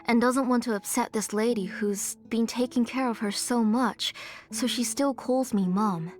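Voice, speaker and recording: A woman playing a gentle, sorrowful, devoted mother in a dramatic video game scene. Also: fairly high pitch, lightly acted.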